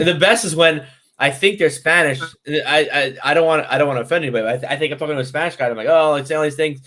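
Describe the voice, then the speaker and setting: A man's voice: on the high side, fairly bright tone. A young man co-hosting a casual chat show, joking and rambling with lots of laughter. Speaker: podcast co-host.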